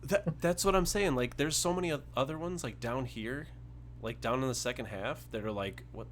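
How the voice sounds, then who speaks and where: A man, co-hosting a casual gaming podcast, easygoing and quick to laugh and tease. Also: medium pitch, a little hiss or hum. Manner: easygoing, laughs often, teasing. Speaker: podcast co-host.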